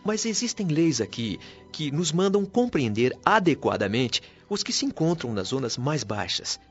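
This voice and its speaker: A man's voice: a little high, very animated, moderate pace. A Brazilian man playing a wise, patient spirit guide in a radio drama, speaking in a formal, earnest, didactic way. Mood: instructive. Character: wise, patient male spirit mentor of a heavenly colony. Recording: dull lo-fi audio with music underneath.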